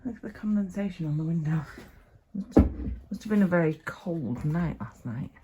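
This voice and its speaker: A woman vlogging from a cold sunroom at home first thing in the morning, chatting in a rambling way with plenty of ums, her voice still croaky from sleep. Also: on the low side, animated, normal speed, dark tone, slight background noise. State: croaky, just woken up. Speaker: vlogger, mother. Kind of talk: casual morning vlog chat to camera.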